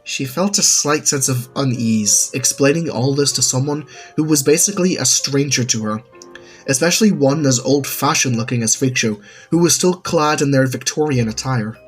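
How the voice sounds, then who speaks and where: A man's voice: mid-range voice, normal speed. A man reading a scripted story as its narrator. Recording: music under the voice. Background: background music.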